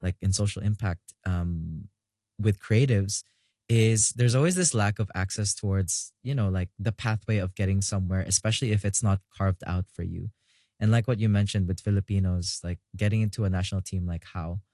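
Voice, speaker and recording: A man's voice: low-pitched, moderate pace, warm tone, no room sound, clean studio sound. A man hosting a casual interview, asking questions in a playful, easygoing way. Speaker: podcast host.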